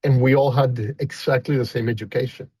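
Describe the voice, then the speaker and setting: A man's voice: medium pitch, fairly even intonation, fairly quick, dark tone, husky, dry recording. A man in his fifties from Costa Rica with a thick Costa Rican Spanish accent, a guest chatting casually and rambling with many fillers. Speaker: guest, company founder. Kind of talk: casual interview chat.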